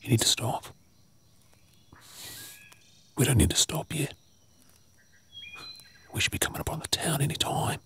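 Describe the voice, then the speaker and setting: Australian male actor for ASMR audio drama: tired, protective apocalypse survivor, whispered, urgent yet coaxing, sharp-edged. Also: mid-range voice, animated, fairly quick, vocal fry, slightly rough, exaggerated voice. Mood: stubborn, urgent. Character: weary, protective male zombie-apocalypse survivor, gruffly hopeful. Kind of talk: whispered ASMR role play.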